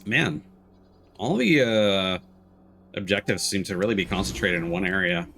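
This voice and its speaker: A man's voice: sits low, very animated, normal speed, fairly bright tone, slight background noise. A man streaming a video game, giving casual, musing commentary with some hesitation.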